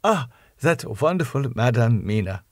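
A man voicing a grave, fervent old foreign professor who speaks solemn, halting, slightly broken English in an audiobook. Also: medium pitch, very animated, no echo, clean studio sound. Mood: delighted, urgent. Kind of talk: audiobook character voice.

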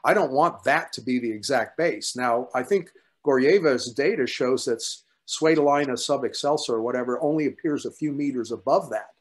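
A man's voice: mid-range voice, moderate pace. An older man with a mid-range voice talks through science on a video call. He is conversational and emphatic, like a researcher in an informal workshop discussion. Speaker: paleontologist at a workshop. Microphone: video call computer mic.

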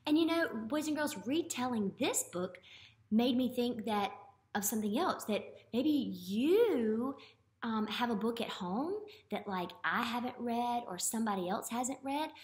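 A woman's voice: a little high, lively intonation, a little slow. An American woman in her thirties, a teacher talking expressively to young children and often asking questions during a read-aloud. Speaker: early elementary teacher. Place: classroom, indoors. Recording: roomy classroom echo.

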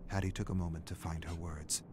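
A man's voice: sits low, fairly even intonation, fairly quick, mellow, slight background noise. A man reading scripted, dramatic story narration as the narrator. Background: music.

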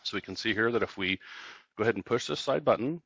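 Low-voiced man giving a product tutorial. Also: fast, vocal fry, a bit bright, husky, no room sound, lo-fi sound. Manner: explanatory, step-by-step. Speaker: company product trainer. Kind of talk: casual product tutorial narration.

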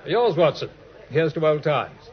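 A man's voice: fairly high pitch, expressive, a little slow. A British actor playing a cheerful, chatty young Victorian medical man in a 1950s radio drama. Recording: muffled 1950s radio recording.

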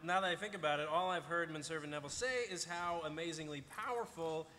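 A man's voice: on the high side, fast, bright, forceful tone. A young man playing an eager, slightly clueless boyfriend, earnest and hesitant, at a live table read. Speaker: actor. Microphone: table microphone. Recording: echoey convention hall, faint noise.

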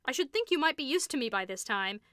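A woman's voice: high voice, sing-song, fast talker, a bit bright, dry recording, clean studio sound, pitched above the performer's own voice. A woman voicing a nervous man who blusters and forces an easy tone. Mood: forced ease.

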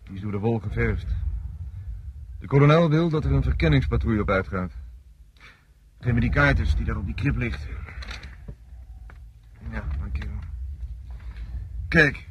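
A businesslike male army officer played by an actor in a radio drama. He speaks at a medium pitch, matter-of-fact and directive. The old recording is dull and faintly noisy. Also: measured pace, pauses often, natural voice.

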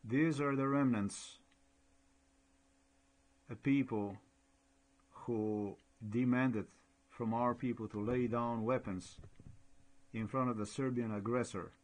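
A man from Slovenia with a Slovenian accent, speaking halting, repetitive English as a non-native speaker while commenting over a shared screen. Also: mid-range voice, steady tone, darkish tone. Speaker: blogger.

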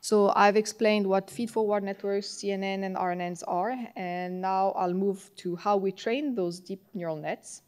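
French woman w french accent explaining technical stuff at a conference podium, medium pitch, kinda halting. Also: fairly even intonation, a little mellow, clear, resonant tone. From France. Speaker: conference tutorial presenter. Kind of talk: technical lecture to an audience. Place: large conference hall, on stage at a podium.

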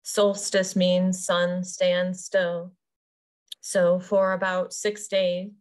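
A woman leading a guided meditation as a yoga nidra teacher, soothing and unhurried, pausing between short phrases. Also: fairly low pitch.

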